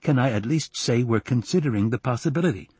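A man voicing an exasperated, placating husband and father in a measured way. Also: medium pitch, animated, darkish tone, breathy edge, no room sound, voice pushed up. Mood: firm, placating.

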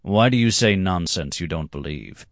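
A man playing a calm, amused master. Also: sits low, normal speed, no room sound, studio quality. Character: calm, knowing older master, dryly amused.

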